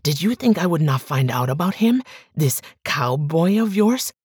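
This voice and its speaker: A woman voicing a suspicious, jealous man who speaks in slow, low, quiet threats. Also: sing-song, slightly rough, dry recording, clean studio sound, exaggerated voice. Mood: menacing. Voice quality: low, quiet, sometimes whispered.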